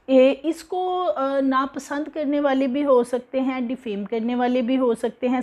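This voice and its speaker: Young woman, Pakistani Urdu accent, news commentary, conversational with fillers, higher voice. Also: darkish tone. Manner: conversational, many fillers, indignant. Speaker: news presenter. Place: indoor living room with TV behind.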